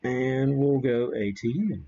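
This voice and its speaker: A man hosting a casual, unscripted live radio-hobby demo, thinking aloud in a halting way as he works the equipment. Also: mid-range voice, mellow, muffled recording. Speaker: livestream host, amateur radio operator.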